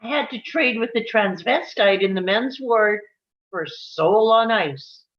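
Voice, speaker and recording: Casting: actress as blunt woman on a psychiatric ward, unhurried delivery, table read, dull audio. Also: medium pitch, lively intonation, close to an everyday voice. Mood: matter-of-fact. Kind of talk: live table read of a film script.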